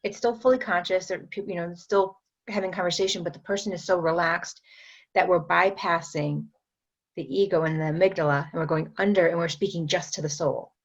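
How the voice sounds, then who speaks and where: A woman with a fairly low voice talks through her energy work slowly, circling back with fillers and restarts. The recording sounds dull and lo-fi, muffled at the top end. She is a guest on a podcast interview. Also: fairly even intonation. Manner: unhurried, explanatory, many fillers and restarts. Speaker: guest, metaphysician and energy trainer.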